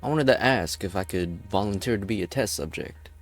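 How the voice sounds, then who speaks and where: A man's voice: fairly low pitch, fairly quick, soft background music, close to an everyday voice. A man voicing a bitter, defiant leader of an armed group in a game fan dub. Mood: defiant, confessing.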